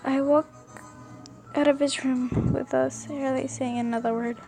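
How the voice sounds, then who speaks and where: A woman reading a first-person story aloud as an amateur narrator, haltingly, often stumbling and rereading words. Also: high-pitched, fairly even intonation, dark tone. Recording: music behind the voice. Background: music.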